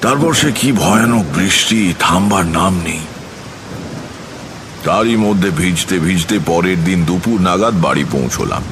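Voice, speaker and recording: A man narrating a scripted horror tale in the first person, in a measured, literary manner. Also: deep voice, animated, noisy background. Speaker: storyteller and lead narrator.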